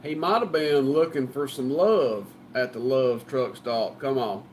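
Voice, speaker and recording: A man's voice: mid-range voice, unhurried, a little mellow, slight background noise. A man with a Southern US accent chatting casually as a CB radio operator, folksy and joking. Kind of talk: casual banter over CB radio.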